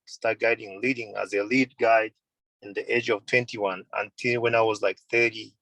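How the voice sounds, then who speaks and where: A man's voice: medium pitch, vocal fry, a bit bright, dry recording, low-quality recording. Earnest man from Tanzania, dry sound. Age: 30s. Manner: earnest, repeats and corrects himself, simple non-native phrasing. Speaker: mountain guide and tour company owner. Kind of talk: webinar interview answers. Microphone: video call.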